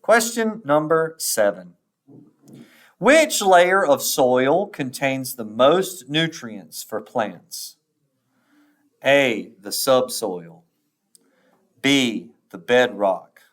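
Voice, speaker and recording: A male teacher reads a test aloud at a slow, careful pace. His pitch moves up and down noticeably as he sets out each question and option, pausing between them. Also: sing-song, a bit bright. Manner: deliberate, instructional, pausing between answer choices.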